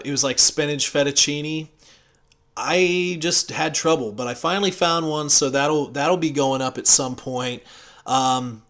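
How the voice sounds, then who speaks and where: An American man talking casually and unscripted as a channel host, in a rambling, conversational way with hesitant pauses. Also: mid-range voice, fairly bright tone. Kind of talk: casual unscripted audio log.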